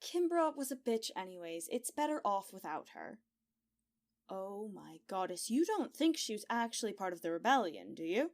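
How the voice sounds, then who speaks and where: Young maid: blunt, sassy, lively swings in pitch, audio drama. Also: female voice, normal speed.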